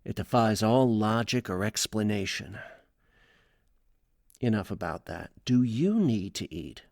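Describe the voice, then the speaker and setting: A man playing a reserved, wary former professor in an audio drama, formal and deliberate in his speech. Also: on the low side, animated, gravelly, darkish tone, no echo, clean studio sound. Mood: grave warning. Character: reserved, wary male former philosophy professor back from away.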